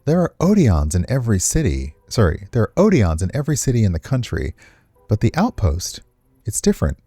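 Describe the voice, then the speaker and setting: A man's voice: fairly low pitch, huge pitch swings, a little fast, mellow, slightly rough, no echo, faint music behind, heavily acted. A man reading visual novel dialogue as a young woman dancer, earnest, hesitant and a little defensive.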